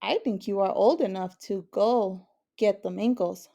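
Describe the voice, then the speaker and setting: A woman's voice: medium pitch, measured pace, vocal fry. Woman voicing a kindly, encouraging storybook father. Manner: encouraging, gently questioning. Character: kindly, encouraging father giving gentle advice.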